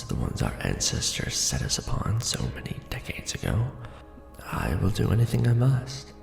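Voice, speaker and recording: A deeply gravelly, courtly vampire lord acted out by a man murmurs in a theatrical ASMR roleplay. His low delivery is formal, deliberate and breathy, with a brooding, possessive air. The voice sits in a roomy echo with music playing behind it. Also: exaggerated voice. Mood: grave, determined. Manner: formal, hushed, deliberate, theatrical aristocratic address.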